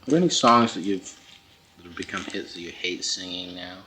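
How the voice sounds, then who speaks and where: A man casually asking questions as an interviewer in a relaxed, conversational style. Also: deep voice, brisk. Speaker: magazine interviewer. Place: at a table in a house. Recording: dull, faintly noisy interview tape.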